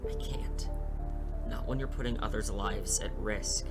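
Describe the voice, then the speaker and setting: A woman playing an earnest, anguished male hero in a scripted audio drama, with a strained, halting, pleading delivery. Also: very deep voice, over loud music. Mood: anguished, resolute.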